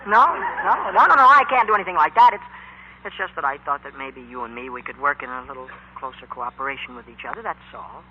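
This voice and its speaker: A man's voice: fairly high pitch, fast talker, close to an everyday voice. An actor playing an eager, hapless teenage boy who stammers and pleads, in 1940s radio style. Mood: sheepish, earnest. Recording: muffled, noisy 1940s radio recording.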